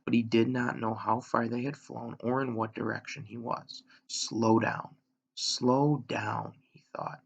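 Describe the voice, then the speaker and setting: Guy reading a kids book out loud, super gravelly fry, measured with dramatic pauses, kinda muffled recording. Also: medium pitch. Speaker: elementary school reader, story narrator. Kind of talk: read-aloud of a children's novel.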